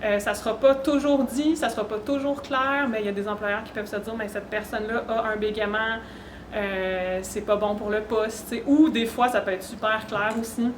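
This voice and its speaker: A woman's voice: a little high, steady tone, moderate pace. A woman with a Québécois French accent giving an informal, conversational awareness talk to an audience. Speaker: panelist, speaker. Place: echoey room at a university event. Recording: roomy echo, faint background noise.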